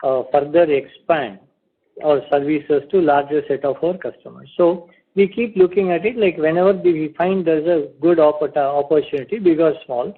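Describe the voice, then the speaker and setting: A male company executive answers off the cuff on a conference call. His voice sits a little high for a man and sounds husky. It comes through a tinny telephone line. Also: steady tone, moderate pace. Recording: narrowband conference phone line.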